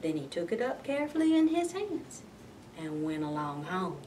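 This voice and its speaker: A woman reading a picture book aloud as expressive narration, like a librarian at story time. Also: fairly low pitch, normal speed, distant mic, background noise.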